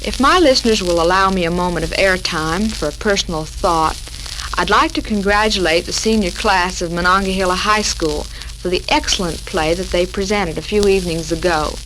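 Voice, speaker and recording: 1940s American radio hostess, crackly recording. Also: female voice, on the low side, normal speed. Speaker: radio show host. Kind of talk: 1940s women's radio talk, scripted.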